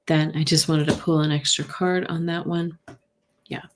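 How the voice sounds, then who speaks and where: A soft-spoken woman reading tarot cards on a live stream, gently thinking aloud and answering viewers by name. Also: low voice, flat delivery, normal speed, a little hiss or hum.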